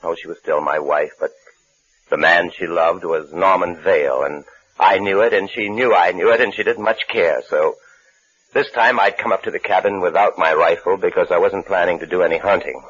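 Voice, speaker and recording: An actor playing a bitter, disgraced lawyer and husband in a 1950s radio suspense play, brooding and curt. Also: male voice, deep voice, steady tone, normal speed, fairly bright tone, husky. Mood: brooding, resigned. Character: bitter, disgraced lawyer husband recounting his own downfall. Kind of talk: 1950s radio suspense acting with first-person narration. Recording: muffled old radio broadcast recording.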